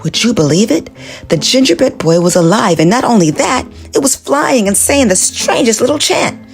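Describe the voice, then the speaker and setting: A woman narrating a children's storybook in an animated, expressive read-aloud manner. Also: fairly high pitch, moderate pace, soft background music.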